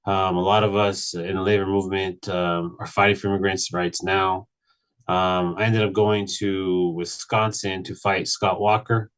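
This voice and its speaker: A man in his late thirties, from Mexico, telling his personal story on a panel in an earnest, halting way, with many restarts. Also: deep voice, little pitch movement, a bit bright. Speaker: panel speaker. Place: virtual event.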